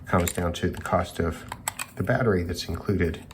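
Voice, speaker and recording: A man with a low voice talks through electronics in an unscripted, hobbyist way. He sounds musing and tentative, working things out as he goes. There is some noise behind him in the recording. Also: steady tone, moderate pace. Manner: musing, thinking aloud, tentative. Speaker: hobbyist reviewer of radios. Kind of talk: unscripted electronics teardown commentary.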